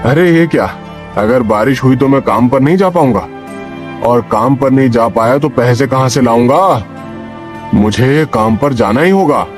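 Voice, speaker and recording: A man's voice: medium pitch, normal speed, natural voice. A man playing a poor, anxious labourer father in a cartoon moral story, with a humble, plaintive delivery. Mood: worried, weary. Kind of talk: Hindi animated moral story dialogue. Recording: music behind the voice.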